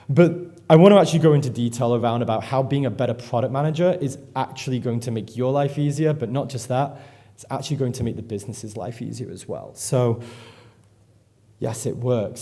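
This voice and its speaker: A man's voice: mid-range voice, mellow. A man, giving a rambling, self-deprecating conference talk and swearing freely. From England. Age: 30s. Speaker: conference speaker. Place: conference stage before an audience.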